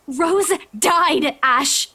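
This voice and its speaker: Young woman, very high, sharp, desperate, insistent, stubborn, game voice acting. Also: extremely slow, heavily acted. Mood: upset, insistent. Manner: insistent, urgent. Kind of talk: visual novel voice acting.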